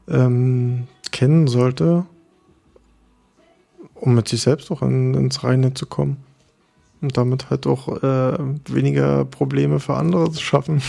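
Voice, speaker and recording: A man speaks in a low, gravelly, mellow voice. He keeps his pitch fairly flat and steady. He answers thoughtfully, hesitating often and restarting his phrases. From Berlin, Germany. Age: 30s. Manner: hesitant, many fillers and restarts. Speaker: bar co-owner interviewee. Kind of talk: reflective interview answers.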